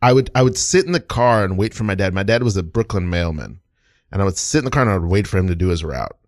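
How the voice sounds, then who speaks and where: A man hosting a comedy podcast in a casual, digressive way. His voice sits a little low and is recorded dry, with no room sound. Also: animated, fast talker, no room sound. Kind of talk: casual chat.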